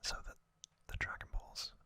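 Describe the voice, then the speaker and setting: An ASMR host whispering an unhurried, unscripted-sounding explainer in a breathy, unvoiced whisper, pausing often as they go. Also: pushed and bright.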